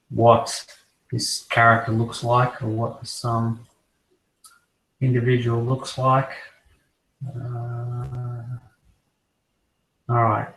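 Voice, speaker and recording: A croaky-voiced man fighting off a cold talks casually and at length, his tone staying flat and level. He sits a little back from the microphone in an echoey room. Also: medium pitch, takes it slowly, pauses often, far from the mic. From Australia. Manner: relaxed, rambling collector talk. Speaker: co-host. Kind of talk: casual chat.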